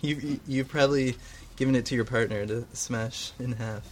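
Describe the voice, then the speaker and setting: Man, co-host, casual joking chat, rambling, mid pitch, faint background hiss. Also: fairly even intonation, normal speed. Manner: joking, rambling, self-deprecating.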